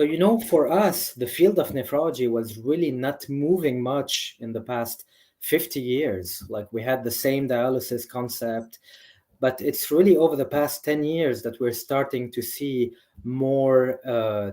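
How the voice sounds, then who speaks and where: A man's voice: medium pitch, measured pace, warm tone. A man from a Lebanese family who grew up in several countries, giving enthusiastic, conversational answers as a medical expert guest. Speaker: nephrologist, interview guest.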